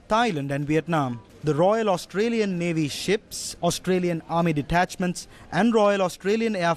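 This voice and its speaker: A man anchoring a TV news bulletin, reading a script in a steady formal manner. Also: on the high side. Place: TV news studio.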